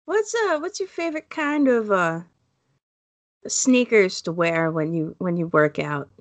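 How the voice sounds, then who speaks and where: A woman's voice: lively intonation, a little slow, dry recording. Woman cohost on a podcast, teasing and wry, voice jumps all over, super dry sound. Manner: teasing, wry, with sudden high jumps of pitch. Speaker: podcast co-host. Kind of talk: casual comedic podcast banter.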